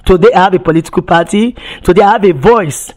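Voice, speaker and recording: A woman's voice: on the low side, fast talker, darkish tone. An impassioned woman activist testifies at length. She speaks emphatically, piles up rhetorical questions and often trips over repeated words before pushing on.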